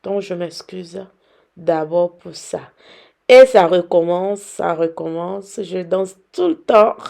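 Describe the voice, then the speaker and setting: Hoarse, gravelly woman cooking. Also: fairly low pitch, slow pace, warm tone. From Benin. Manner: chatty, teasing, addresses viewers directly. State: hoarse from a cold. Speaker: cooking vlogger. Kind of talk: casual cooking demonstration.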